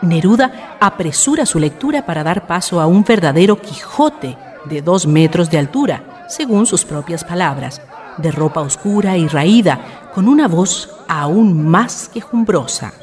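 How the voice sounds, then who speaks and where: A woman's voice: fairly low pitch, expressive, measured pace, some chatter behind. A woman reading scripted narration for a radio drama. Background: soft music.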